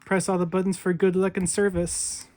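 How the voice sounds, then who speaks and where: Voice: medium pitch, level tone, moderate pace, a little mellow. A video host talking casually through an unboxing, showing things step by step in an informal way. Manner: informal step-by-step show-and-tell.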